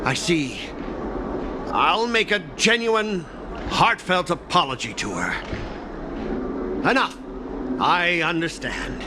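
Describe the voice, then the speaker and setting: A man's voice: sits high, big pitch swings, slow pace, pauses often, background noise, full character voice. A man playing an insecure, remorseful, overworked corporate office worker in a video game, hesitant and emotional, with stammers. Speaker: game character.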